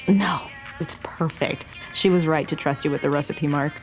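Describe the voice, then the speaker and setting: A woman's voice: low-pitched, moderate pace, soft background music, lightly acted, low-quality recording. An actress playing a kind, practical and guarded woman who runs a restaurant, in naturalistic audio drama. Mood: gentle, careful.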